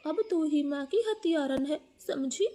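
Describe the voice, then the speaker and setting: A woman's voice: high voice, lively intonation, measured pace, mellow, pitched above the performer's own voice. A woman voicing a selfish, callous young brother bird in a cartoon story, scolding and accusing.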